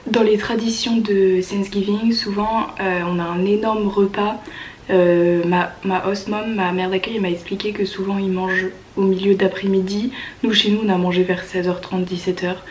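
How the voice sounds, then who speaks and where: A young woman with a French accent tells her stories in a casual, slangy vlog style. Her medium-pitched voice sounds stuffed up with a cold, and her delivery is informal and enthusiastic. Noticeable ambient noise sits behind her. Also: flat delivery, moderate pace. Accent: French from France. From France. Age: early 20s. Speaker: au pair vlogger. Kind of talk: casual chatty vlog storytelling.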